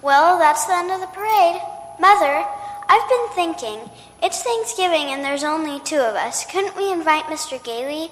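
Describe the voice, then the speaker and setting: A woman's voice: very high voice, expressive, normal speed, a bit bright, big-room sound, slight background noise, rough recording. A woman playing a polite, eager little girl in an old-time radio play. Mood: bright, curious.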